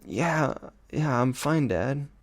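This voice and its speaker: A man's voice: mid-range voice, animated, takes it slowly, voice pushed up. A man voicing a young colt in a story reading, a sad boy trying to be tough, subdued and hesitant. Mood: worried. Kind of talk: animal fantasy story reading.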